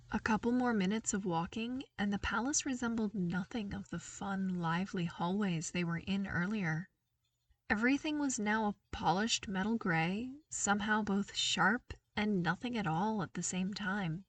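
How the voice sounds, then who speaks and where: A woman reading a scripted story aloud as an audiobook-style narrator, with expressive, emphatic delivery. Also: mid-range voice, no echo, far from the mic. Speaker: podfic narrator, audiobook reader.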